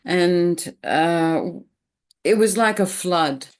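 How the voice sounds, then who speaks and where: A woman speaking as a panelist on an online webinar, answering in a thoughtful, unhurried way. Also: on the low side, clean tone. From Australia. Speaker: panelist, academic integrity officer. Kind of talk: panel discussion.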